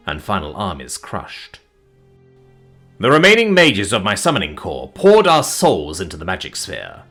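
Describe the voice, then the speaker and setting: A man tells a fantasy tale in a low, forceful voice. His delivery is solemn and theatrical, swinging widely between emphatic swells and hushed endings. Faint music plays behind him. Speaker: story narrator. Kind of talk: dramatic scripted fantasy story narration.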